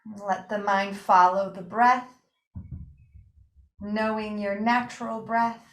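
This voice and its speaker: A woman teaching breathing and yoga nidra, guiding a relaxation practice with gentle, invitational phrasing and pauses. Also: medium pitch, steady tone. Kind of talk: guided meditation and relaxation instruction. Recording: roomy, echoing room sound.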